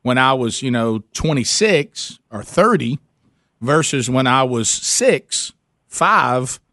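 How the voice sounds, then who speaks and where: A man's voice: medium pitch, expressive, slow, sharp tone, no echo, clean studio sound. A man hosting a call-in radio show, talking conversationally and circling back to rephrase as he explains his point. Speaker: radio talk show host.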